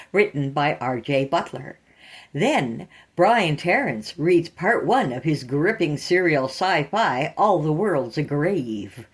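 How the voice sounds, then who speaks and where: A woman's voice: low voice, slightly rough. A woman hosting and narrating scripted horror fiction in a theatrical, sinister storytelling manner.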